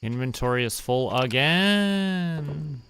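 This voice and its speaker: A young man streaming a relaxed game, talking to himself and counting under his breath as he plans. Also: a little high, slow pace, slight background noise. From Canada. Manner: muttering, counting to himself while planning. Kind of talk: relaxed gameplay commentary.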